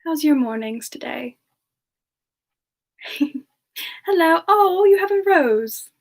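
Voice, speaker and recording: A woman voicing a gentle, friendly young siren demon in a semi-ASMR livestream chat, speaking softly and hesitantly with polite warmth toward listeners. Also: very high voice, lively intonation, slow, exaggerated voice. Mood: shy, welcoming. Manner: soft-spoken, hesitant, polite, breaking into murmurs. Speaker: livestream host in character.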